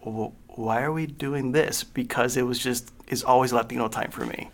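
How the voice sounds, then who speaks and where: Man from Cleveland, flat, halting panelist. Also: mid-range voice, a little hiss or hum. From Cleveland, Ohio, USA. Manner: halting, many "uh" fillers. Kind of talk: casual panel discussion.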